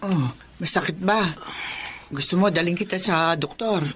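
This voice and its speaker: A woman acting a middle-aged, protective, gently scolding mother in a Filipino radio drama. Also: on the low side, moderate pace, soft background music, close to an everyday voice. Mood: concerned, scolding.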